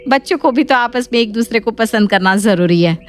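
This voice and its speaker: A woman's voice: fairly high pitch, brisk, natural voice. A woman voicing a polite, reasonable middle-aged mother arranging a match in a cartoon family story. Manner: courteous, reasonable.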